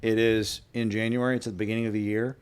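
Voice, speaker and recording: A man co-hosting a laid-back podcast. His voice sits slightly low. He trades playful, teasing banter with his partner. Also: little pitch movement, fast talker, clear, resonant tone. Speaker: podcast co-host. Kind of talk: casual chat.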